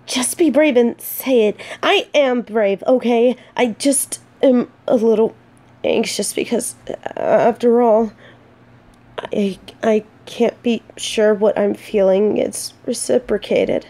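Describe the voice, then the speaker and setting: A woman's voice: a little high, slow, mellow. A woman playing an earnest, remorseful exiled female knight in a romantic fantasy audio roleplay, speaking solemnly and faltering when flustered. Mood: flustered, shy. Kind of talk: scripted romantic audio roleplay, addressing the listener.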